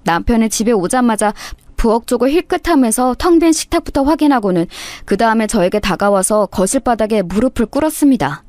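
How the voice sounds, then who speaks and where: A woman's voice: fairly high pitch, lively intonation, a little fast, hardly any pauses, darkish tone, no echo. A woman narrating a scripted first-person story in a dramatic storytelling style.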